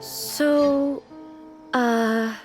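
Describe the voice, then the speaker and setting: A woman playing a reserved, ageless female ruler in an anime video game, speaking in a formal, measured, thoughtful way. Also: high voice, steady tone. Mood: hesitant, awkward. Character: dignified, reserved female ruler, ageless, formal, naive about ordinary life. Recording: music playing behind.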